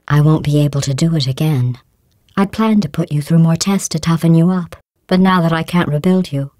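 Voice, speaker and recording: A woman's voice: low voice, clear, resonant tone, dry recording. A cold, mocking female AI. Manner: dry, condescending insults delivered calmly. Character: cold sarcastic female AI overseer. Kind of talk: video game voice clips.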